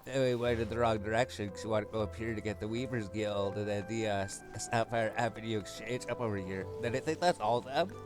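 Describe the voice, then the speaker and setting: Male gaming host, lowish pitch, casual rambling walkthrough delivery over clear background music. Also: fast talker, few pauses. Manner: rambling, thinking aloud. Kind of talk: casual game walkthrough commentary. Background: game music.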